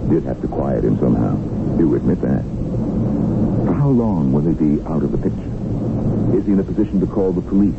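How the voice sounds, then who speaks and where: Very deep, sardonic hostage, creaky voice. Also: male voice, lively intonation, heavily acted. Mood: goading. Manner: sardonic, taunting, unhurried persuasion. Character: wealthy male kidnap hostage, sardonic, cool, needling manipulator. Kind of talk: 1970s radio drama acting. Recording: noisy, phone-like 1970s radio broadcast.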